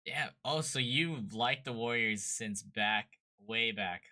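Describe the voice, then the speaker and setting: A man's voice: mid-range voice, slow pace, sharp tone. A man hosting a podcast, asking curious follow-up questions in a casual interview chat. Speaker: podcast host.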